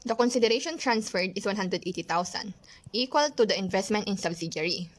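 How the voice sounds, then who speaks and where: A woman's voice: medium pitch, fast talker. A woman presenting an accounting lesson as scripted narration, methodically reciting in short phrases.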